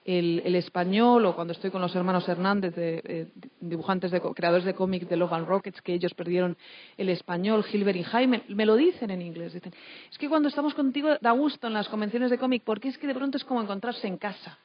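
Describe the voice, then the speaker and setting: Spanish woman from spain talking on a panel, chatty storyteller, kinda muffled lo-fi audio, medium pitch. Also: fairly quick. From Madrid, Spain. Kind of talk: unscripted panel discussion. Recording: dull, lo-fi event recording.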